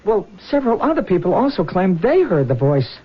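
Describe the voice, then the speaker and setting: Husky, nervous young intern. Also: male voice, high-pitched, very animated, brisk, full character voice. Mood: uneasy, reporting. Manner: hesitant, deferential. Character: nervous, deferential young male hospital intern. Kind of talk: 1970s radio drama acting. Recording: dull lo-fi vintage radio recording.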